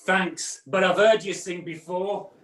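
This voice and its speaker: A roomy home video-call recording of a man as a brash pantomime dame. The voice is high and unhurried, full of cheeky, theatrical patter for a woman selling potions. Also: steady tone, a bit bright, a little hiss or hum. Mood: cheeky, bargaining. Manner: theatrical, bantering sales patter. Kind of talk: amateur pantomime acting with comic songs. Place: at home, indoors.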